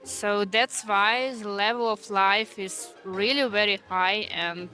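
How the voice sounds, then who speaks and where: A woman from Ukraine answering a street interview, choosing her words slowly and carefully. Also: mid-range voice, steady tone, pushed and bright, soft background music. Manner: careful, hesitant phrasing.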